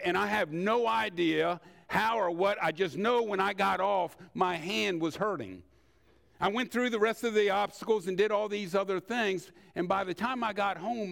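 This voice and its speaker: An older man preaching in a conversational, storytelling way, with rhetorical questions and deliberate pauses. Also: high-pitched, gravelly, a bit bright. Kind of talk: sermon with personal anecdotes.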